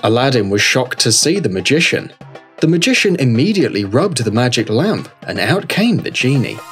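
A man's voice: mid-range voice, sing-song, normal speed, a bit bright. A man reading scripted narration for a children's fairy tale. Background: background music.

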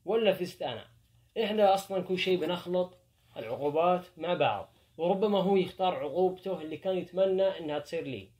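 A man's voice: sits high, darkish tone. A young man, hosting a challenge video and chatting directly to viewers. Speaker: channel host. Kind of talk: vlog challenge intro.

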